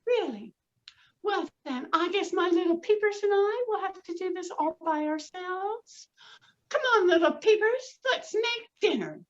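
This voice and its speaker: A woman voicing a motherly little red hen, speaking in a high, chirpy, sing-song way. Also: animated, unhurried. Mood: cheery. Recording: dull lo-fi recording.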